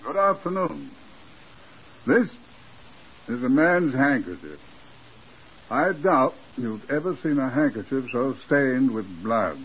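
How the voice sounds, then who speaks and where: A man playing a drily macabre crime museum custodian, hosting a 1950s radio drama in a deadpan, unhurried way. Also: medium pitch, husky, heavily acted. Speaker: host. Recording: very muffled old radio broadcast.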